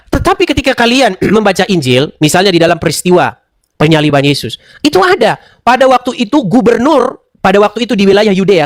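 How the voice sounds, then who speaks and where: Brief: high-pitched man, dry close sound, sarcastic debate host who lectures and needles. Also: dry recording. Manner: mocking, lecturing, rhetorical questions. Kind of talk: heated interfaith debate.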